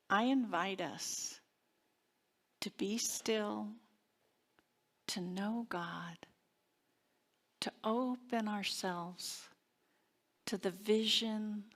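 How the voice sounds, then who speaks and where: A woman minister giving a sermon and guided prayer in a deliberate, reverent manner with long pauses. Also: mid-range voice. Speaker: minister leading a service. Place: church service.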